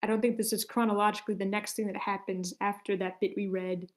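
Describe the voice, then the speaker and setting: A woman's voice: medium pitch, little pitch movement, fast. A woman hosting a script table read in a casual way over an online group call.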